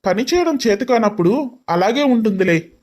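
A man plays a young businessman in an audio story. He is irritable, scolding and quick to taunt. His speech is sprinkled with English words. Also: sits high, animated, a little mellow. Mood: irritated. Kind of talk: dramatised story dialogue.

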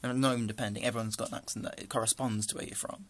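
A man's voice: medium pitch, fairly even intonation, rapid-fire. A young man with a northern English accent, chatting casually through a list of questions into a close webcam in a small room, rambling with dry asides. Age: young adult. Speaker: vlogger.

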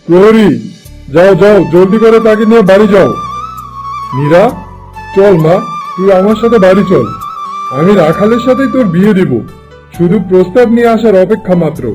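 A man's voice: high-pitched, moderate pace, long pauses, a little mellow, natural voice, rough recording. A man playing a stern, scheming middle-aged landlord father in a cartoon fairy tale. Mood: relenting. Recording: music playing behind the voice.

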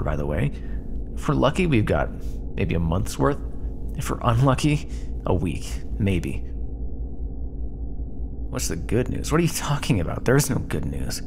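A man voicing a stranded, sarcastic starship commander who swears often and turns gentle when comforting someone, in an ASMR roleplay. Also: mid-range voice, gravelly, noisy background. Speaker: voice actor in an audio roleplay. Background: music.

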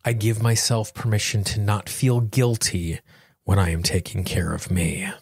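A man's voice: fairly low pitch, measured pace, creaky, breathy edge, no room sound, studio quality. A man hosting a self-help podcast, speaking in an earnest, encouraging manner. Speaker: podcast host. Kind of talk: guided self-help tapping affirmations and podcast talk.

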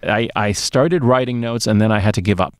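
A man's voice: lowish voice, brisk, no echo. A middle-aged man co-hosting a podcast, chatting casually about movies in an emphatic way, close to the mic. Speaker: podcast co-host. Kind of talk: casual movie review chat. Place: small dark sound-treated booth. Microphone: close podcast mic on boom arm.

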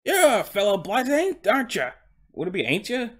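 A talking coach horse with a broad Cockney accent. The voice sits high and leaps about in pitch. He is proud and aggrieved, full of polite, boastful working-class London patter. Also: wildly swooping pitch, moderate pace, fairly bright tone, husky, heavily acted. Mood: incredulous, boastful. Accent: put-on Cockney.

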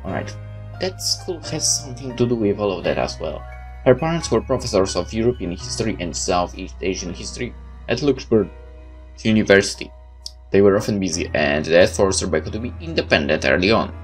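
A man's voice: fairly low pitch, expressive, brisk, low-quality recording. A man hosting a video game playthrough, reading on-screen text aloud and adding his own asides. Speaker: gaming video host. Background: game music.

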